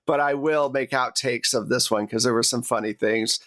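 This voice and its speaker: A man's voice: mid-range voice, steady tone, moderate pace, a bit bright, no room sound. Male game master, mid-range voice, dry close sound, improvised narration with casual hosting. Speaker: game master and podcast host.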